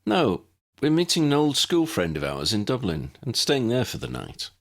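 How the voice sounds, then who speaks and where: A man's voice: mid-range voice, huge pitch swings, moderate pace, vocal fry, voice pushed up. A man voicing an earnest young male wizard in an audiobook, explaining things patiently. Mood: earnest, explanatory. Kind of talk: audiobook character dialogue.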